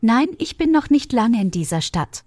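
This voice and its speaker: A woman presents a language lesson, asking practice questions and reading model answers in a measured, instructive way. Her pitch moves in lively curves, and the recording is dry and close. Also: no echo. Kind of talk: clear scripted lesson delivery.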